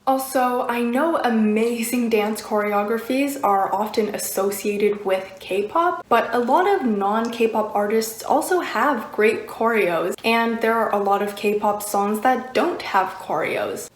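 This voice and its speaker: A woman with a mid-pitched voice talks through a video essay in a noticeably roomy recording. Her delivery is conversational and measured, explaining points step by step like a commentator.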